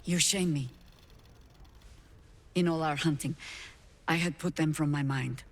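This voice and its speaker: A woman's voice: low-pitched, measured pace. Grave old warrior mother, long reverb. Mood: dry, fond. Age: older. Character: weary, proud old warrior mother, gruffly affectionate. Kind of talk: video game dramatic acting.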